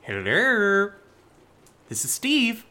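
An actor playing a frightened, exasperated man in a horror audio drama, stammering and halting when nervous. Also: sits high, huge pitch swings, bright, forceful tone, heavily acted. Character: Steve, frightened, exasperated man investigating a creepy house.

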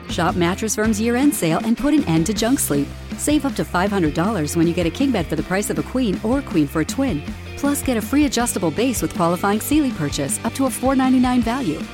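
A woman's voice: medium pitch, animated, quick pace. An American woman reading a scripted retail commercial. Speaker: advertisement voice-over. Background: plucked guitar music.

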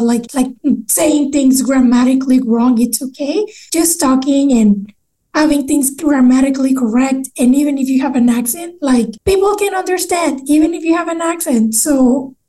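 A woman's voice: sits high, warm tone, poor audio. A young woman with a Latin American Spanish accent, hosting a casual interview podcast. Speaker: podcast host.

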